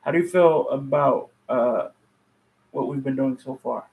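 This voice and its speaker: A man's voice: medium pitch, unhurried, a little mellow, low-quality recording. A man co-hosting a podcast in casual, conversational chat. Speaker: podcast co-host.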